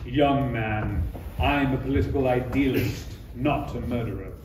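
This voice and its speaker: A man's voice: medium pitch, unhurried, natural voice. Man playing a pompous villainous count, roomy sound. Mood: smug. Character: suave, scheming villainous count, formal and condescending. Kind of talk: parody script table read. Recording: echoey room, distant mic, faint noise.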